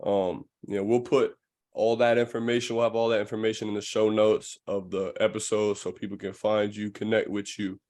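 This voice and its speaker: A man's voice: fairly low pitch, flat delivery, a little fast, a little mellow. A man chatting casually as a podcast host, in a reflective, slangy way. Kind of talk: casual chat.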